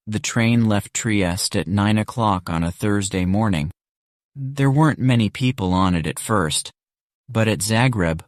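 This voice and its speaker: A man reading a scripted story for English learners as narrator, measured and clearly enunciated. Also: on the low side, no room sound, clean studio sound. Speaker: audiobook narrator.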